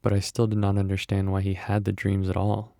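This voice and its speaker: A man playing a grave, detached doctor, narrating a horror manga dub in a sombre, deliberate way. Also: low voice, monotone, creaky, mellow, no room sound, studio quality. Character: grave, detached male doctor recounting a strange case.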